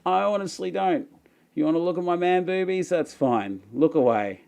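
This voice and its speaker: A young Australian man hosting a casual live Q&A, joking about himself and rambling with lots of fillers, his voice worn raspy from little sleep. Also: high-pitched, moderate pace, darkish tone. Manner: self-deprecating, joking, rambling with many fillers. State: voice going raspy, tired. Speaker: livestream host answering chat questions.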